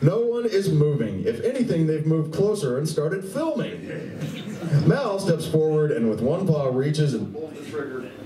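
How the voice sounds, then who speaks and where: A man's voice: on the high side, big pitch swings, measured pace, recorded from a distance, crowd noise. A man giving dramatic scripted narration for a live radio play. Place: live stage reading at a convention. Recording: echoey room, picked up from afar.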